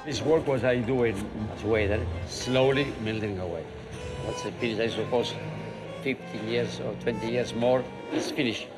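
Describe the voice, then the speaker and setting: A man's voice: medium pitch, fairly even intonation, normal speed. An older man, a veteran waiter, chatting in broken English and repeating his words emphatically. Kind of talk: casual chat over drinks. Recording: music playing underneath.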